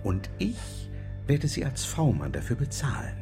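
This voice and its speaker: An actor playing a cynical intelligence agent in a radio drama, blunt, matter-of-fact and glib as he makes his pitch. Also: huge pitch swings, unhurried, creaky, over music, heavily acted. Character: smug, cynical male intelligence agent recruiting informants. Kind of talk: German radio drama acting.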